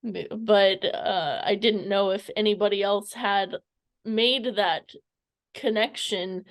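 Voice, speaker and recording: A woman's voice: medium pitch, takes it slowly. A woman interviewing someone casually, tentative and rambling, stumbling over her words as she goes.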